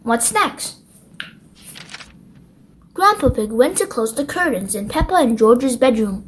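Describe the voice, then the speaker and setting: High-voiced woman narrating a children's story. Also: big pitch swings, normal speed, a little hiss or hum. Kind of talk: expressive children's read-aloud narration.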